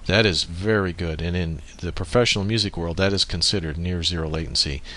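A man giving an informal software tutorial, explaining methodically and thinking aloud as he works through the steps. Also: sits low, brisk. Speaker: tutorial presenter. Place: indoors at a computer, voice-over on screen recording.

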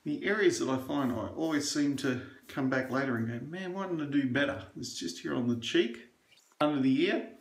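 A man's voice: medium pitch, brisk. Chatty man in an echoey bathroom. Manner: chatty and opinionated, rambling with fillers. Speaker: shaving reviewer. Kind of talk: casual chat while shaving. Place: small bathroom indoors.

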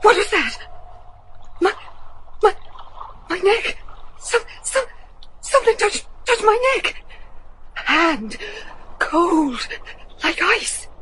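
An actress playing a frightened working-class wife in a gothic horror radio drama, breathless, pleading and tremulous. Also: female voice, extremely high pitch, very animated, drawn-out words, pauses often, noisy background, full character voice. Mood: fearful, tearful. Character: terrified working-class wife searching for her husband.

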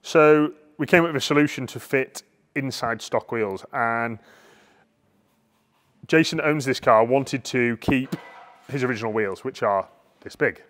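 A middle-aged man talks through the parts in a relaxed, chatty way, pointing things out as he goes. His voice sits mid-range and carries a long reverb from the big workshop around his lapel mic. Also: medium pitch, a little slow, long pauses. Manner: chatty, explains parts hands-on. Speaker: workshop owner showing projects. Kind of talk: casual walkaround explanation. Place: large vehicle workshop, indoors.

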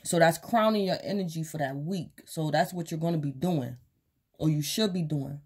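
Woman doing a late night tarot reading, voice mostly gone, mellow and low, rambles a lot. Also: moderate pace, slightly rough. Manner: rambling, loose, with many fillers and repeats. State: losing her voice, tired late at night. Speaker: tarot reader. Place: small indoor room at home, table of cards and crystals.

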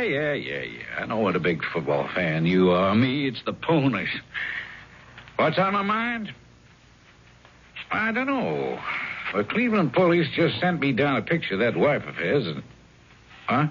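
Casting: stern, suspicious parole officer, mid-pitched with wide inflection, seventies radio drama. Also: male voice, fairly bright tone. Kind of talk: 1970s radio drama acting. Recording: muffled 1970s radio broadcast, faint noise.

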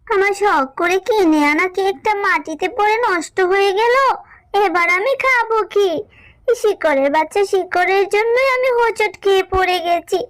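A squeaky poor little girl. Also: moderate pace, no echo. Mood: dismayed. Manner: whiny, pleading child's talk. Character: poor little village girl, cake-loving. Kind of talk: cartoon voice acting.

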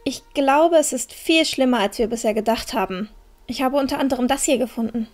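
A woman's voice: high voice, a little fast, a little mellow, faint music behind, lightly acted. A woman playing a flustered but headstrong young village heroine in a fan-made fantasy audio drama. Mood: explaining.

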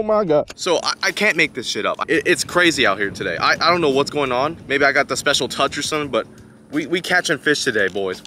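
Young adult man, slightly high voice, excitable casual vlogger, slangy, faint outdoor noise on action camera. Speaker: fishing vlogger. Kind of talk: casual outdoor vlog chat to viewers. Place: outdoors beside a pond. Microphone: chest-mounted action camera or camera close in front.

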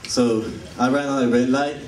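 A man's voice: mid-range voice, fairly even intonation, normal speed, a little hiss or hum. A young man from Puerto Rico with a Puerto Rican Spanish accent, a native Spanish speaker performing a playful stand-up comedy set. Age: young adult. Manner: joking, playful crowd work. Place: comedy club stage. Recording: echoey live room from a distance. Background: laughing audience.

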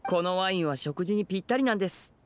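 A young stage magician in an anime game. He speaks courteously and persuasively in a fairly high male voice, over faint music. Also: lively intonation, fast talker. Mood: smooth, courteous. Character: polite, smooth-talking teenage boy magician.

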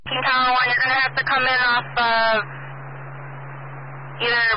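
A woman's voice: high voice, level tone, normal speed. A woman working as a fire dispatcher, speaking in clipped procedural phrases over two-way radio and reading messages back. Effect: two-way radio transmission. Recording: muffled, noisy dispatch radio audio.

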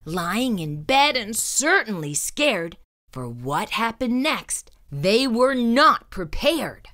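Female children's storyteller, higher-pitched and very animated, dramatic suspenseful delivery. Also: slow pace. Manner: suspenseful, theatrical, emphatic. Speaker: storyteller reading a children's book aloud. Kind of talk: dramatic read-aloud narration.

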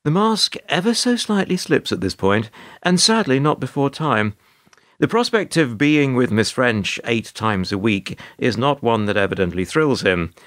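A man narrating a radio play as a wry, put-upon storyteller, measured and sardonic. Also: on the low side, fairly bright tone. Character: wry, put-upon male narrator recalling awkward encounters.

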